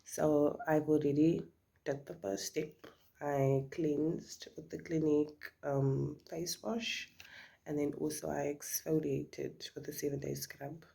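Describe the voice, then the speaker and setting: A middle-aged woman with a South African accent, her first language Afrikaans, talks through her makeup in a relaxed chit-chat. Her voice is gravelly and a little husky. She often drops her volume to a near whisper. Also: low voice, slow pace. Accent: South African English. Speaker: beauty vlogger.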